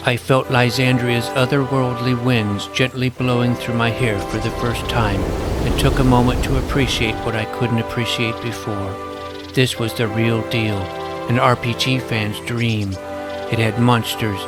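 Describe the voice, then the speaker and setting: A man's voice: mid-range voice, level tone, measured pace, lightly acted. Man playing a wry boy adventurer over music. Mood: wry, wistful. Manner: florid, wry storytelling. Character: Dickey, eager boy adventurer and fantasy RPG fan. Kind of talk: scripted first-person story narration with acted dialogue. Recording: music under the voice.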